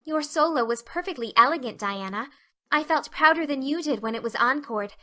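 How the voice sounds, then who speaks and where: A woman's voice: high-pitched, expressive, fairly quick, sharp tone, voice pushed up. A woman voicing a dreamy thirteen-year-old girl, effusive, romantic and theatrical, in an audio drama. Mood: thrilled.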